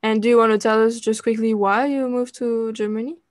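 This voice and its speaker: A woman's voice: fairly high pitch, fairly even intonation, fairly quick, no echo, studio quality. A woman hosting a casual interview podcast, asking questions and backing up her guest with frequent "mm-hmm" and "okay.". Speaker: podcast host.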